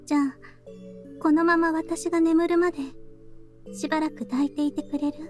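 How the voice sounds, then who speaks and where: A woman playing a quiet, weary, affectionate young woman in a visual novel, speaking softly and without hurry. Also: very high voice, flat delivery, fast talker. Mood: sleepy, tender. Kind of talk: Japanese visual novel voice acting. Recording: background music under the voice.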